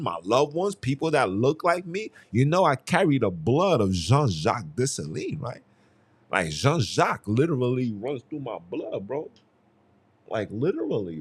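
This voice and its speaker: A young man hosting a podcast on his own, talking in a heated, emphatic voice that sits at an ordinary middle pitch for a man. He repeats phrases for weight and keeps up a loose, conversational flow. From Haiti. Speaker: podcast host. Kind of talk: casual solo commentary.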